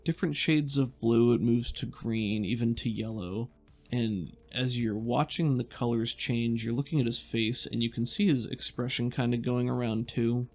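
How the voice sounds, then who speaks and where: A man with a creaky, fry-edged voice narrates a tabletop game. He works as game master, improvising descriptions at an ordinary male pitch over faint background music. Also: steady tone, moderate pace, a little mellow.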